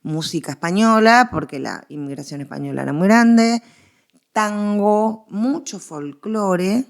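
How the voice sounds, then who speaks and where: A woman's voice: mid-range voice, measured pace, creaky. An Argentine woman speaking as a guest expert in a conversational interview, explaining history with emphatic, repeated phrasing.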